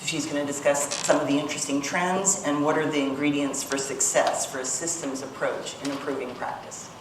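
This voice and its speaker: A woman's voice: sits low, fairly even intonation, fairly quick. A woman chairing a panel discussion, introducing the next speaker in a light, wry way. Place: conference room. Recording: roomy, distant mic with noise.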